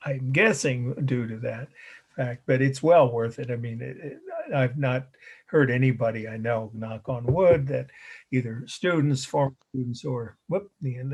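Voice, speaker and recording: A middle-aged man lecturing a college art history class, slightly hoarse, rambling with fillers and self-corrections. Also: mid-range voice, a little mellow.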